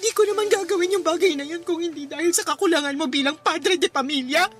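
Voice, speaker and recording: Give the radio drama a hurt, angry wife, with a high voice. She is bitter and confrontational, scolding with raw emotion over faint music. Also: fast talker, a bit bright. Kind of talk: Filipino radio drama acting.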